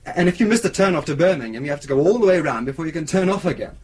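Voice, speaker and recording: A man's voice: a little high, animated, very fast, a little mellow, slightly rough. An actor playing an anxious, defensive boyfriend in a radio drama, hesitant as he explains himself. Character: anxious, defensive young boyfriend of a missing woman. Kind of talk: naturalistic radio drama acting.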